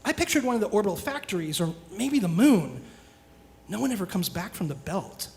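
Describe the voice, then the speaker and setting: A woman's voice: mid-range voice, very animated, a little fast, darkish tone. A woman playing an anxious, aggrieved young student in a live radio play, hesitant, stammering and emotional. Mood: uncertain. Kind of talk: live radio theatre acting.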